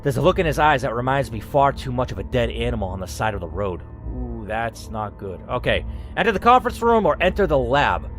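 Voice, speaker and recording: A man reads a choose-your-path story aloud in first person and talks through his choices between passages. His pitch swings freely, and faint music plays underneath. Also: very animated, a little fast, hardly any pauses, a bit bright. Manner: reads in first person, then breaks off to think aloud and curse. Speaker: player reading a story game aloud. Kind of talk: reading narration with playthrough commentary.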